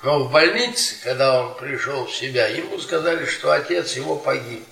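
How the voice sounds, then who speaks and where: An actor playing a bitter, worn-down middle-aged history professor, a sardonic storyteller speaking in deliberate, theatrical radio-drama style. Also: male voice, medium pitch, vocal fry, sharp tone, soft background music. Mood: grave, haunted. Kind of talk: Soviet radio theatre acting, dramatic monologue. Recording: roomy stage-like echo, distant from microphone.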